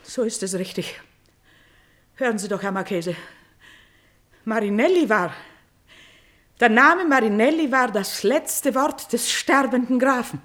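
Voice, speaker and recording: A woman's voice: a little high, animated, a little slow, pauses often, fairly bright tone. An actress playing a distraught, shrewd noble mother in a classical radio drama, intense and pointedly emphatic in her accusations. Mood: accusing, bitter. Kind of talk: classical German stage-play radio acting.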